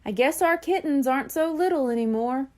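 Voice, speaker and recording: A woman voicing a brisk, busy mother cat in a children's storybook. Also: sits high, animated, darkish tone.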